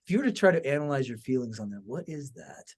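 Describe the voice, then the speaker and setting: Guy hosting a podcast, medium pitch, casual, kinda circles round his questions before asking. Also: sing-song, fast. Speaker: podcast host and interviewer. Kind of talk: casual conversational interviewing.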